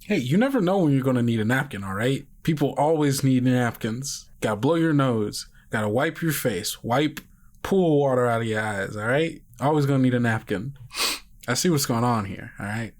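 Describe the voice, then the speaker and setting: A man voicing a gruff, protective, streetwise father who swears freely and goads in slang in a tabletop roleplay. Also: medium pitch, animated, normal speed, lightly acted. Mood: cocky, protective. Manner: profane, slangy, goading, emphatic.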